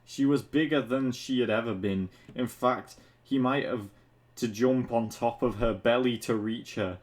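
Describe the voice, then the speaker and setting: A man's voice: medium pitch, measured pace. A man reading fan fiction aloud as a narrator, breaking off into casual, mocking asides with some swearing. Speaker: reader of fan fiction for an online video.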